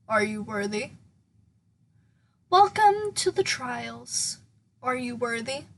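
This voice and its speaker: A woman voicing a solemn male spirit in an audio drama, with a measured, ceremonial manner. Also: a little high, a bit bright, breathy edge, heavily acted. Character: solemn golden male spirit who runs trials.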